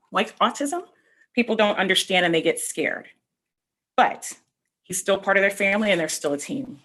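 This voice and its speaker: A woman reading a picture book aloud to children, expressively, pausing to explain things directly to her young listeners. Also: fairly low pitch, a bit bright, husky. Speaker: read-aloud storyteller.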